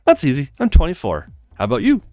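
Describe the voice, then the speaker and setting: A man's voice: a little high, wildly swooping pitch, fast, mellow, no echo, soft background music. A man voicing a courteous, easygoing handywoman in her twenties for a visual novel game. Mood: friendly. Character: friendly, polite young handywoman of twenty-four.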